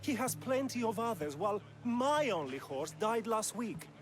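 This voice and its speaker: A man's voice: sits high, sing-song, normal speed, music in the background. An actor playing a poor male farmer caught stealing a horse, defensive and pleading.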